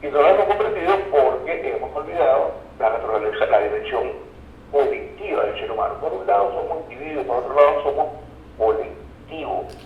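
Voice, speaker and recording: A man's voice: fairly high pitch, animated, brisk, vocal fry. Venezuelan man explaining in a roomy, dull recording. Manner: didactic, reflective, checks in with the listener. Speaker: interview guest, academic expert. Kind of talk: explanatory interview talk with word origins and everyday examples. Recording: roomy echo, dull lo-fi sound, faint noise.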